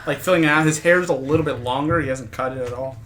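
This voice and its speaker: Guy playing a tabletop game, casual, bright higher voice, pitch jumps around. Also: fast talker, soft background music, poor audio. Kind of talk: casual improvised roleplay chat.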